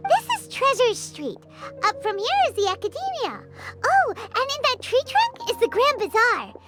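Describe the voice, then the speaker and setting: A woman voicing a tiny, cheeky, helpful fairy girl in an anime game, squeaky and childlike, speaking of herself in the third person. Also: huge pitch swings, normal speed, over loud music, heavily acted. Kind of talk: English anime game voice acting.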